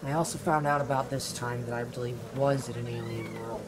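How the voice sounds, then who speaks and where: A performer playing a weary stranded traveler, giving a measured, reflective first-person log in an audio drama. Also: mid-range voice, steady tone, noisy background, lightly acted. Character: weary stranded traveler recounting a journey in alien desert.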